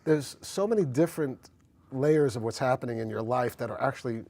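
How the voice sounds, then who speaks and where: Man, medium-pitched voice, talk show host, casual, conversational, emphatic with repeated phrases. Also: fairly quick, vocal fry, mellow. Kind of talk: casual interview chat.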